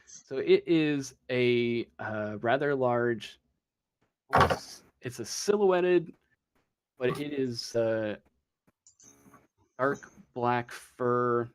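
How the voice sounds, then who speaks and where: Slow, halting male game master. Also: mid-range voice, clean tone. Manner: halting, searching for words. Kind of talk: improvised tabletop game narration.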